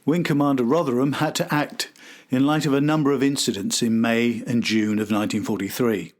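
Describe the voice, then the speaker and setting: A man's voice: mid-range voice, fairly even intonation, brisk. A man hosting and narrating a history documentary from a script. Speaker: host and narrator.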